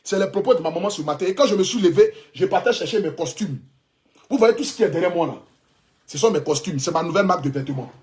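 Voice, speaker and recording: A man's voice: high voice, lively intonation, fast, a little mellow. Fervent Ivorian man preaching on livestream. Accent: Ivorian French. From Ivory Coast. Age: 30s. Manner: preachy, fervent, repetitive, defiant. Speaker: social media blogger. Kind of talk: emotional livestream address. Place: indoors, clothing rack behind.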